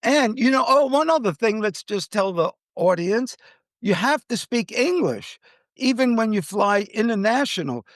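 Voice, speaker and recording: A man's voice: sits high, sing-song, a little slow, no echo, studio quality. An American man hosting a podcast, giving conversational commentary on the news. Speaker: podcast host.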